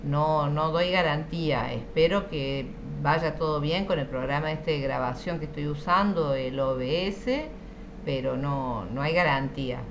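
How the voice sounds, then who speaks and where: Argentine woman, online French teacher, lowish voice, patient explaining, noisy call audio. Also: measured pace, muffled recording. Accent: Argentine Spanish. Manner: patient, explanatory, checks understanding with frequent "¿sí?". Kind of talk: online class lecture.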